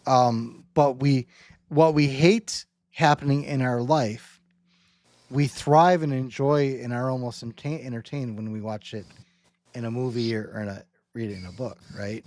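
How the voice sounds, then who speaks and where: A man with a mid-pitched voice hosts a casual discussion of faith. He works through his thoughts in halting, looping phrases, often tagging on little check-in questions. The sound is dry, with very little room in it. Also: fairly even intonation, darkish tone, no echo. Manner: halting, rambling phrasing with rhetorical check-ins. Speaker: host.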